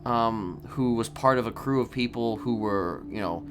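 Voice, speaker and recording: A man playing a calmly boastful, evasive serial killer in improvised crime roleplay, rambling and hesitant as he confides. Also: medium pitch, a little hiss or hum, natural voice. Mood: evasive, musing. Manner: halting, rambling, hesitant delivery.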